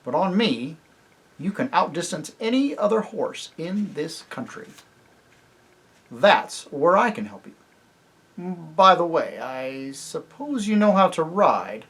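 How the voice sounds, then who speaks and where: A proud talking warhorse from a storybook, blunt and scornful, as a man puts him on. The voice is husky and swings widely in pitch, and the delivery is loud and emphatic. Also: takes it slowly, full character voice. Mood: commanding. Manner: emphatic, lively storybook reading.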